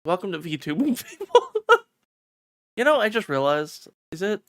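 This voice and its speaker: A livestreamer chatting casually and excitably with viewers, swearing freely as they banter. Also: medium pitch, moderate pace, no echo, clean studio sound. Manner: excitable, profane, bantering with chat. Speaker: streamer. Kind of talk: casual livestream chat and reading a visual novel aloud.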